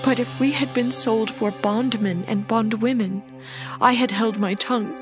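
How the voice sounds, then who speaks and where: A woman's voice: fairly high pitch, fairly even intonation, a little slow, music in the background, lightly acted. An actress playing a young queen making an earnest, pleading request in a dramatized scripture reading.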